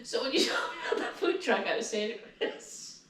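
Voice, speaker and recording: A woman's voice: on the high side, animated, a little fast, fairly bright tone, slight background noise. A middle-aged woman co-hosting a podcast in casual, teasing chat. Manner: teasing, mock-scolding. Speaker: podcast co-host, host's mother. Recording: echoey room, distant from mic.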